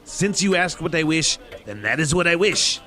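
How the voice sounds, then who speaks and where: A man's voice: fairly high pitch, lively intonation, normal speed, bright, forceful tone. A man playing a miserly, irritable businessman in an audio drama, curt and scornful of Christmas. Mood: cold, scornful.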